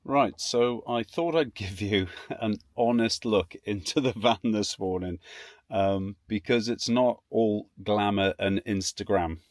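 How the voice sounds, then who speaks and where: A man's voice: lowish voice, measured pace, dry recording, clean studio sound. A British man talking casually to camera as a vlogger, in a chatty, informal, sweary way. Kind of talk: casual rambling vlog chat.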